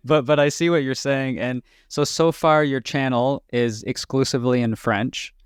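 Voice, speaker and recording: A man's voice: mid-range voice, fairly even intonation, a little slow, clear, resonant tone, no echo, studio quality. A man hosting a podcast interview in a casual style, asking loose, rambling questions. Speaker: podcast host.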